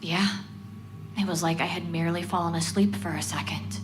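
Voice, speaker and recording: A woman's voice: on the low side, steady tone, moderate pace, noisy background, lightly acted. An actress playing a bitter female survivor with a blunt manner, in a horror audio drama. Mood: haunted. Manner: blunt, sweary. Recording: loud music under the voice. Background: loud music.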